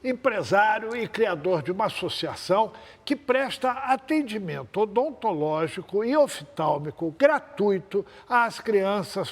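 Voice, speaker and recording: A man hosting a TV interview, prompting his guest with many quick follow-up questions. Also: sits high, big-room sound. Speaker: TV interviewer, host.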